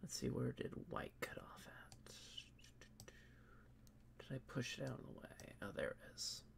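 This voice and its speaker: A man streaming as he edits a comic, muttering quietly to himself and answering chat in a casual way. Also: mid-range voice, sing-song, measured pace, vocal fry, whispered.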